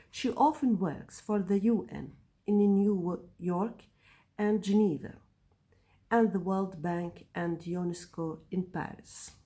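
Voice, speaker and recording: Woman teacher, slow careful reading, mellow dark tone. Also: mid-range voice. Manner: reads text aloud, glossing words in Arabic. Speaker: English teacher. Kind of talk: slow read-aloud lesson with translations.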